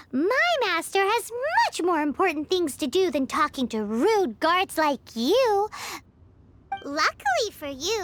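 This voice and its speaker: A woman voicing a small, chatty, cheeky fairy companion with a squeaky childlike voice in a video game. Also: sharp tone, exaggerated voice. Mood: haughty. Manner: squeaky, exclamatory, refers to self in third person.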